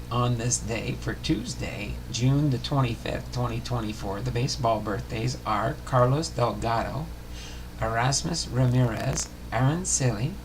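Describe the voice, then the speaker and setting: A man hosting a casual livestream, chatting and reading aloud in a halting, unpolished way. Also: medium pitch. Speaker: livestream host. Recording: clearly noisy room recording.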